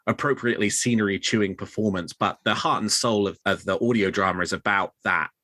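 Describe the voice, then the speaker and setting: A man's voice: fairly low pitch, a little fast, pushed and bright, no echo. A man hosting a podcast, talking through news and reviews in a conversational, emphatic way. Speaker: podcast host. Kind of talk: podcast discussion and news commentary.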